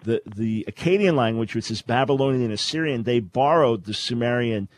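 A man's voice: medium pitch, expressive, brisk, no room sound. A man hosting a call-in radio show, explaining Bible languages in a scholarly way with frequent restarts. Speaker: radio talk show host.

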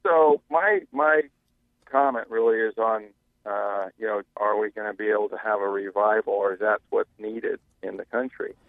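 A man's voice: on the low side, fairly even intonation, normal speed, no room sound. A man calling in to a radio talk show, earnestly building long, preachy questions about morals. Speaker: caller. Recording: tinny phone line.

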